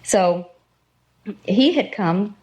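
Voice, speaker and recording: Female contestant, average pitch, 1950s radio interview, anecdotal delivery. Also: lively intonation, drawn-out words, a little mellow, muffled recording. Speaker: quiz show contestant.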